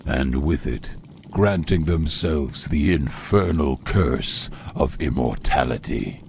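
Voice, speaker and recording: An actor playing a stern, authoritative older demon mentor in a video game, speaking gravely and deliberately. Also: male voice, very deep voice, a little mellow, slight background noise, full character voice. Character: gruff older male demon coach and narrator, stern, measured. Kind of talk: dramatic scripted narration and game character acting.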